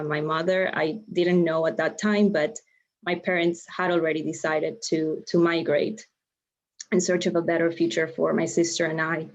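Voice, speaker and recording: A young woman from Ecuador giving an online lecture, measured and explanatory, with frequent ums and uhs. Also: lowish voice. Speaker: guest lecturer. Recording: dull lo-fi video-call audio.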